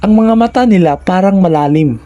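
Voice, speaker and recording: A Filipino man narrates a scary first-person tale in a soft, mellow voice pitched a little high for a man. He keeps to a measured delivery, setting out short phrases for suspense. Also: lively intonation, lo-fi sound. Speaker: horror story narrator.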